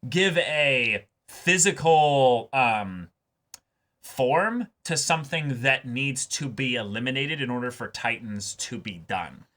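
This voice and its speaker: A man with a bright, forceful voice talks through anime as a show co-host. He is emphatic but halting, circling back over his words while he builds a point. The delivery is casual and conversational. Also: mid-range voice, expressive.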